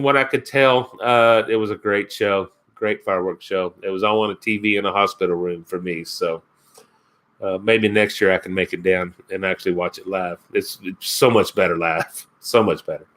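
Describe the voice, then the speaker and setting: A laid-back man with a Kentucky accent, talking to his viewers on a live stream. His voice sits a little low, and he rambles along, chuckling and answering chat comments as they come in. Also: steady tone, normal speed, a bit bright. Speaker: outdoors channel host.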